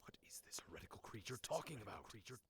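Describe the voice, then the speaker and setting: An actor playing a defiant, frightened, devout cleric in a fantasy audio drama, distant among overlapping voices. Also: male voice, medium pitch, expressive, fast talker, husky, no room sound, in a whisper, studio quality. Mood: indignant.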